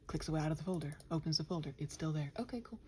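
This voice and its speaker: A woman rambling casually to listeners as she works, muttering and thinking aloud in a self-deprecating way, her soft voice often dropping near a whisper. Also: low-pitched, very fast, slight background noise. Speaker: audio roleplay and ASMR creator.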